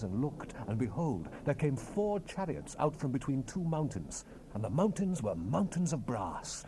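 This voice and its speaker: A man narrating scripture dramatically in a solemn, declamatory manner. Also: medium pitch. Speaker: Bible narrator. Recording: hissy 1970s cassette rip.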